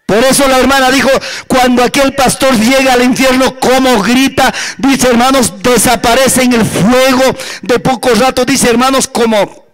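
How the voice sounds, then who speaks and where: A male preacher with an Andean Spanish accent and a very high-pitched voice. He tells his sermon as a fervent, dramatic story. He repeats his phrases and turns to the congregation with rhetorical questions. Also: steady tone, normal speed, a bit bright, low-quality recording.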